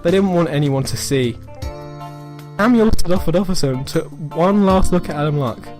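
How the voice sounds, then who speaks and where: A man with a slightly high voice reads amateur fiction aloud over music. He delivers it as a mock-serious dramatic reading, stretching certain phrases for effect and tripping over others. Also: lively intonation, normal speed, darkish tone. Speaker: reader of amateur fan fiction. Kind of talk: comic dramatic reading of a written story.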